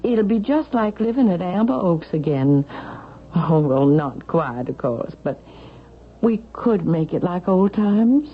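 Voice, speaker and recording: Casting: a haughty elderly Southern matriarch, low voice, sharp and demanding, old radio drama on a dull tape. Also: female voice, expressive, unhurried, husky. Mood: pleading, insistent. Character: proud, imperious, suspicious elderly Southern mother, wealthy widow. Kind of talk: vintage radio drama acting. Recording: dull vintage radio broadcast, faint noise.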